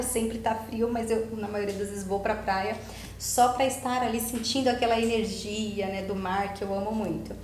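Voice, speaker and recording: A Brazilian woman hosting a podcast in a casual, chatty way, often seeking agreement with short tag questions. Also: a little high. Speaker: podcast host. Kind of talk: casual conversational chat. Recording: roomy echo, faint background noise.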